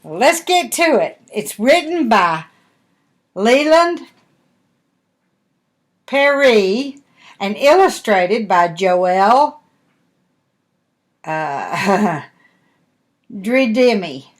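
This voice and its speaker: An older woman, a grandmother, reading a picture book aloud to young children with gentle, folksy explanations and chatty asides. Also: mid-range voice, big pitch swings, extremely slow, long pauses.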